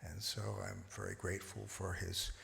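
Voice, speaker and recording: A middle-aged man speaks at a church service in a fairly low voice. He pauses often, hesitant and sincere. A long reverb hangs behind him in the sanctuary. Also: level tone, gravelly, sharp tone. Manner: hesitant, many uhs. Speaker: congregant.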